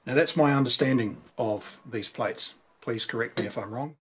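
Male, New Zealand accent, mid-pitched. Hobbyist repairer explaining casually at the bench, with noticeable background noise. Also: moderate pace, fairly bright tone. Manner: conversational, thinking aloud. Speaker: vintage radio repairer presenting. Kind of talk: casual explanatory workbench talk. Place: indoors at a workbench. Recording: clear background noise with clicks.

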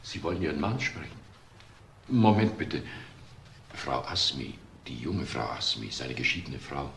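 A reserved man in a German crime radio play. He gives information in a low voice, with roomy echo and faint noise around it. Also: big pitch swings, a little slow, creaky, a bit bright, full character voice.

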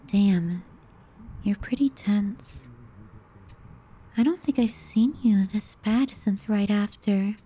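A woman playing a teasing, callous, clingy younger sister in an intimate ASMR roleplay, sardonic and often hushed. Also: mid-range voice, slow pace, pauses often, breathy edge. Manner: sardonic, needling, dropping into hushed murmurs.

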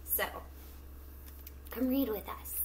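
A middle-aged woman teacher reading a picture book aloud to children, with expressive, drawn-out storytelling and questions to the young listeners. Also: a little high, a little hiss or hum. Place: small indoor room at home with plants.

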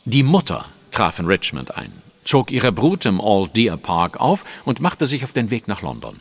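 An actor playing a calm, astute Victorian detective in an audio drama, formal and measured in his explanations. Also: medium pitch. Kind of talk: German audio drama acting.

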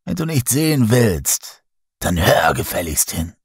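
Unheimlicher alter Erzähler, von einem Mann gesprochen, mahnend, tiefe, rauchige Stimme. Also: male voice, lowish voice, sing-song, a little slow, gravelly, breathy edge, dry recording, clean studio sound, heavily acted. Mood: ominous. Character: old male storyteller, eerie and scolding.

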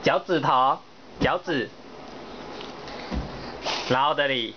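A man talks as a parent quizzing a small child at home. He speaks slowly, in short repeated prompts and simple instructions. The sound is dull home-camera audio with some background noise. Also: mid-range voice. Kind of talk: casual bilingual vocabulary practice with a child. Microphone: handheld camera's built-in microphone.

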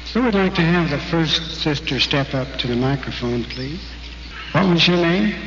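A man's voice: a little high, expressive, moderate pace. Man interviewing on stage in an echoey hall. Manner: friendly, prompting questions. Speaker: interviewer at a convention. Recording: dull, hissy recording in an echoey hall.

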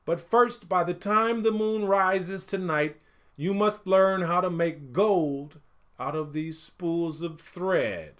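A man voicing a stern, authoritative village chief in a storybook folktale, making commanding, deliberate pronouncements. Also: high voice, fairly bright tone, pitched above the performer's own voice. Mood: stern, solemn.

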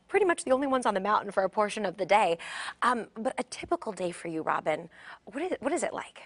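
A woman's voice: mid-range voice, no echo. A woman in her thirties hosting a morning television show, chatting upbeat with guests on a studio set. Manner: chatty, upbeat, some restarts. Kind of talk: live studio interview.

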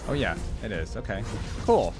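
A man's voice: on the low side, sing-song, moderate pace. A young man giving casual reaction commentary into a close desk mic, often reading text aloud. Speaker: reaction video host. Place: indoor gaming setup. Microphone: desk microphone close to the speaker. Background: game video music.

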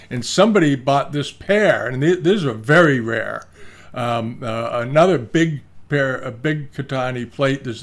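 An older man giving rambling, casual commentary on auction results, full of fillers and restarts, recorded at his desk on a webcam. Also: mid-range voice. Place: indoor room, screen-share with webcam inset.

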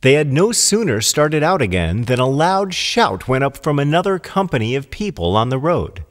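A man narrating a scripted storybook tale in an expressive, deliberate storytelling manner. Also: medium pitch. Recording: long hall-like reverb.

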